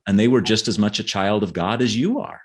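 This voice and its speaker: A man speaking as an author guest in a conversational interview, explaining in a halting way and often repeating words. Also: on the low side, breathy edge. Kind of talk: conversational interview, explaining history and his novels.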